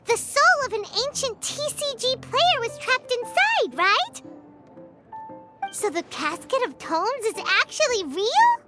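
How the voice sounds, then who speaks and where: A woman voicing a tiny, excitable, cheeky fairy companion in a video game, chirpy and childlike. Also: extremely high pitch, a little slow, loud music behind the voice, full character voice. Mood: excited. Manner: chirpy, talks of self in third person. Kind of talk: video game character acting.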